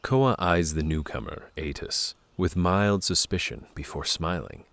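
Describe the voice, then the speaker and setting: A man's voice: low-pitched, expressive, a little slow, creaky, a bit bright, dry recording. A man reading scripted narration for a fantasy audio drama.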